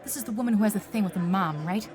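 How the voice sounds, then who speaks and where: A woman playing a hard-drinking, sarcastic private eye in a noir audio drama, with a blunt, deadpan delivery. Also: medium pitch, very fast, lightly acted. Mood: blunt, guarded.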